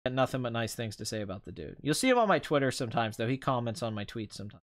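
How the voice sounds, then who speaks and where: A man with a mid-pitched, clear voice hosts a live stream in a casual, conversational way. He rambles through his opinions informally. His throat sounds slightly strained, as if he has been talking for a long time. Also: very animated, rapid-fire. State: voice tiring and strained after two hours of talking. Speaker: live stream host, film commentator.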